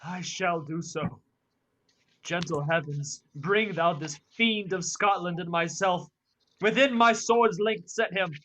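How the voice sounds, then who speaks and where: A man's voice: high-pitched, takes it slowly, sharp tone, heavily acted. A young man playing a passionate, grieving nobleman bent on revenge in a radio Shakespeare play. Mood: vengeful. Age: young adult.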